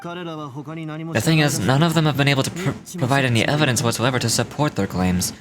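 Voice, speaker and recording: A man's voice: mid-range voice, brisk, close to an everyday voice. Man voicing a composed official, crowd behind. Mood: calm, measured. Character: composed, authoritative male official. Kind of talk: video game voice acting.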